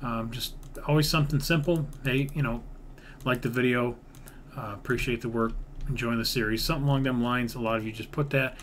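A man with an average-pitched voice narrates his own game build in a casual, rambling way, thinking aloud and half-muttering as he goes. He is recorded indoors at a computer, with clear background noise and typing. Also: fairly even intonation, brisk, a bit bright. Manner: rambling, half-muttering counts, mild swearing. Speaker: gaming video creator narrating his own build series.

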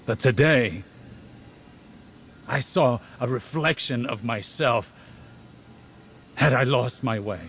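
An actor playing a solemn, reflective man devoted to his love, speaking gravely and eloquently in scripted game dialogue. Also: medium pitch, slow pace, long pauses, a bit bright, a little hiss or hum. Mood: reflective, pained.

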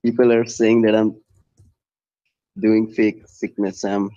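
A man's voice: fairly low pitch, little pitch movement, takes it slowly, warm tone, dry recording. A man calling in to a religious debate, arguing his point with the host. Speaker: caller. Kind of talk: debate call. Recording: dull lo-fi call audio.

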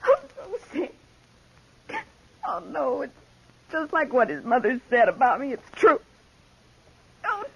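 A wounded but proud young saloon girl in a radio western. Her voice is high and husky, rising and falling dramatically. The sound is that of a dull vintage broadcast. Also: very animated, measured pace, pauses often, exaggerated voice. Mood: distressed. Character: hurt, wistful young saloon woman, quietly proud. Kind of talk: 1950s radio western acting. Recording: dull 1950s radio recording.

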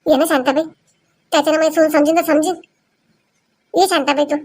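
Woman voicing a high-voiced pleading older mother-in-law. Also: fairly even intonation, a little fast, darkish tone, natural voice. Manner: coaxing, plaintive. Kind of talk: comic cartoon dialogue.

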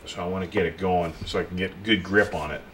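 Low-voiced man explaining a repair. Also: fairly even intonation, quick pace. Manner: plain, unpolished explaining while working, talking to the viewer. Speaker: pool and spa repair technician. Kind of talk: casual hands-on repair tutorial. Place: workshop bench. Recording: tool clicks and workshop noise.

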